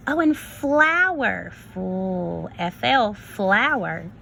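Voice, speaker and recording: A woman kindergarten teacher reading a story to young children, expressive and instructive, prompting them with questions. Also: mid-range voice, drawn-out words, vocal fry, slight background noise. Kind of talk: read-aloud lesson for young children.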